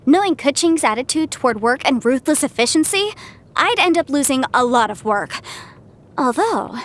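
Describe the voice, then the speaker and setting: Video game casting: bright, bookish young legal adviser, high-pitched, very expressive swings, confident and chatty. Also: female voice, moderate pace. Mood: determined. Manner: articulate, expressive, self-assured, slightly bookish. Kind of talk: scripted game character voice lines.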